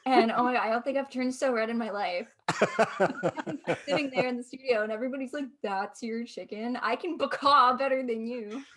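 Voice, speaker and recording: Female actor, highish voice, animated self-deprecating raconteur, casual panel. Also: moderate pace. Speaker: voice actor in an audio drama cast. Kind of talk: casual anecdote in a panel chat.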